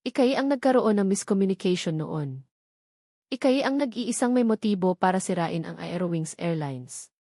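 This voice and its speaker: A woman's voice: medium pitch, expressive, mellow, no echo, studio quality. A woman playing a smug, scheming male politician who taunts with false sweetness in an audio drama. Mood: smug, threatening. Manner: slow, honeyed taunting.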